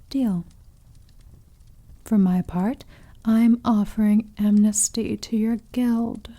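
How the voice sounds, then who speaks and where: A woman voicing a sadistic, possessive vampire noblewoman in an ASMR roleplay, speaking in a breathy voice that often sinks to a whisper, with teasing, condescending menace. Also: mid-range voice, warm tone. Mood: coaxing, predatory. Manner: slow, teasing, condescending endearments, menacing purr. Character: sadistic, possessive, seductive female vampire lord. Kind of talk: ASMR roleplay, intimate scripted monologue.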